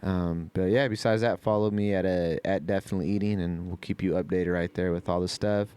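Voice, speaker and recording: Low, even-voiced man, clean and smooth. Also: fairly quick, dry recording. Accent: American. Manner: slangy, informal. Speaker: podcast host. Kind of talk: casual chat and sponsor shout-outs.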